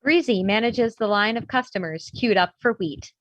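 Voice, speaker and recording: A woman's voice: medium pitch, moderate pace, fairly bright tone, no echo, muffled recording. A woman narrator reading screenplay stage directions in scripted narration.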